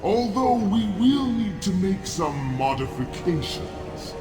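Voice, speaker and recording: Gloating evil sorcerer monster, slow n pompous, sneering, echoey and distant, music behind, video game. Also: male voice, sits high, big pitch swings, full character voice. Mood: gloating menace. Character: gloating male villain sorcerer turned immortal monster. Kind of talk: theatrical video game villain acting.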